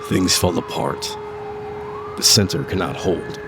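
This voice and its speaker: An actor voicing an ominous reciter of doom, delivering verse gravely in an audio drama trailer. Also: male voice, low voice, measured pace, background noise. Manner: grave, portentous. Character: ominous male doom-laden poem reciter. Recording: voice under loud trailer music.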